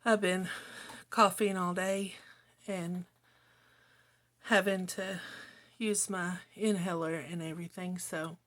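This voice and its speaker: A middle-aged woman with a slightly hoarse voice, chatting casually to viewers as a craft channel host in a homely, rambling way. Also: mid-range voice. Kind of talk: casual chat to viewers while journaling, partly reading own notes.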